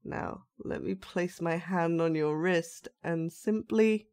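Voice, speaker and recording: A woman playing a sinister, soft-spoken dollmaker with magic powers in a roleplay monologue, coaxing and condescendingly gentle as she addresses the listener. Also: lowish voice, slow pace, clear, resonant tone. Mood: calmly menacing.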